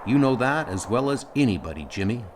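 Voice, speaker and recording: A man's voice: medium pitch, lively intonation, a little fast, noisy background, lightly acted. A man voicing a resilient colony leader in a comic audio drama, familiar and plain-spoken. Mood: stubborn, familiar. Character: rugged, resilient male colony leader, captain's old friend.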